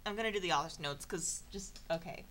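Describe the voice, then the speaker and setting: A woman's voice: fairly low pitch, fairly quick, fairly bright tone. A woman doing an informal dramatic reading of a story, with sardonic, exasperated delivery and casual asides. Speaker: narrator of a comedic fan fiction reading.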